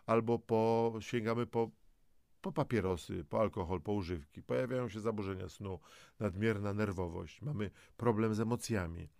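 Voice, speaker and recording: Male presenter for educational audio: lowish, creaky voice, explanatory delivery that speaks straight to the listener. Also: normal speed, a little mellow, no room sound, clean studio sound. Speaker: presenter of a health audio programme. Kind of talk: scripted educational narration with conversational asides.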